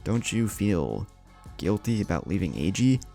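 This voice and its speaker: Guy doing a headstrong teen ninja boy in a story, terse and insistent, deep creaky voice. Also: big pitch swings, a little slow, warm tone, faint music behind, heavily acted. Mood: subdued.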